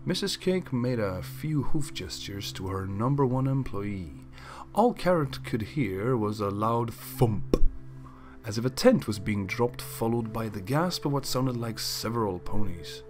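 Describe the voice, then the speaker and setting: A man's voice: medium pitch, unhurried, faint music behind. Man reading a fanfic aloud. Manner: lively, expressive reading. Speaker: fanfic reader. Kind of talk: storybook narration.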